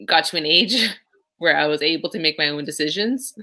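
A young woman from Canada answers interview questions over a video call. Her voice sits low yet rings bright and forward, and she talks in a chatty, easy way, laughing now and then. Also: moderate pace. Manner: chatty, laughs between phrases. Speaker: guest, pro boxer. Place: small room indoors. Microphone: video call, laptop mic.

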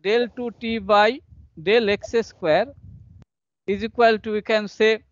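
A man with an Indian accent teaching engineering in a live online lecture, explaining step by step in a halting, repetitive way. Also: sits high, steady tone, dry recording. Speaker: engineering professor. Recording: dull lo-fi online call audio.

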